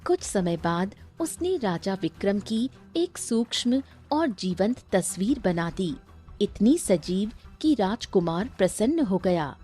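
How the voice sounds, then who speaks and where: A woman narrates a scripted tale in storybook fashion. Her tone is mellow and she takes her time over each sentence, with light music playing behind her. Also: mid-range voice, big pitch swings, slow.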